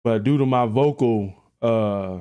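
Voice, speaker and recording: A man with a deep voice hosting a live reaction stream, chatty and laughing at his own jokes. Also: unhurried, warm tone, smooth. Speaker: livestream reaction host. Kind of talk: casual live-stream chat.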